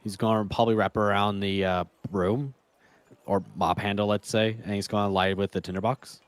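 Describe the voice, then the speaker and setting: A man's voice: on the low side, fairly even intonation, brisk, no echo. A man playing a tabletop game, casually and a little hesitantly describing what his character does.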